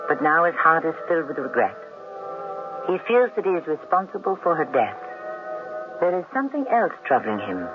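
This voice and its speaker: A male host narrates a radio mystery drama in solemn storytelling tones. His voice is slightly high and lively in pitch. It comes through a thin, phone-like broadcast with music underneath.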